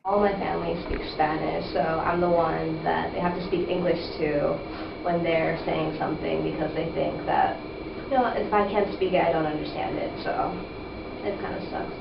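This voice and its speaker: A woman's voice: sits low, steady tone, normal speed, gravelly. A young woman talking casually in an interview. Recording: echoey, distant, muffled, noisy.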